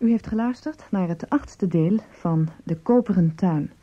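A woman radio announcer reading scripted credits in a formal, measured way. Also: lowish voice. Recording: dull, band-limited old radio recording.

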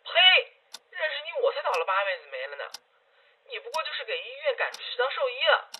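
A woman's voice: fairly high pitch, sing-song, quick pace, dull audio. A woman voicing a clingy, scheming young man, a whiny and coaxing ex-boyfriend, in a Chinese audio drama. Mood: bickering. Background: background music.

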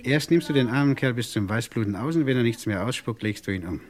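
An actor playing a menacing gangster boss in a German crime radio play, mock-friendly, condescending and threatening. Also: male voice, mid-range voice, expressive, quick pace, a little mellow, close to an everyday voice. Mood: threatening, contemptuous. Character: menacing, sneering gangster boss owed money.

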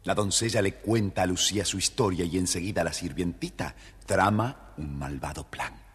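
Low raspy guy telling a kids fairy tale, really animated, hall reverb w soft music. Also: moderate pace. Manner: expressive, theatrical. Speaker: narrator. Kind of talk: scripted children's storytelling narration.

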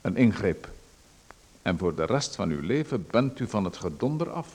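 A creepy, patronizing official. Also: male voice, lowish voice, big pitch swings, normal speed, darkish tone. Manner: condescending, coaxing then threatening. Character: menacing male official, smooth and patronizing. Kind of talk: radio drama acting.

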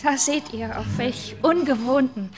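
A woman's voice: high voice, measured pace, over music. A woman acting a young noblewoman who pleads solemnly in a radio drama. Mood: pleading.

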